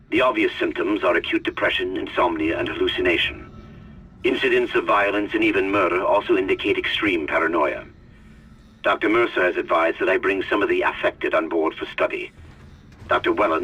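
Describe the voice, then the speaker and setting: An actor playing a grave, worried starship officer who records a formal, measured report in a video game. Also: male voice, sits low, pushed and bright, far from the mic, muffled recording. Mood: troubled, resolute. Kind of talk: video game audio log acting.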